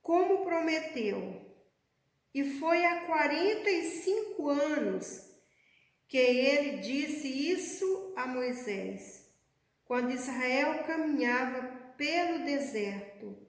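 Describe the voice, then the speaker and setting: High-voiced woman preaching in an echoey hall. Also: animated. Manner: slow, measured preaching, addressing the congregation as beloved. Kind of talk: sermon. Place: church.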